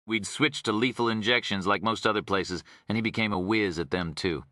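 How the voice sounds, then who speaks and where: A man's voice: fairly low pitch, brisk, bright, forceful tone, no room sound, clean studio sound. A man voicing a retired Texan prison officer in an audiobook, an older man with a folksy, rambling drawl. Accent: Texas.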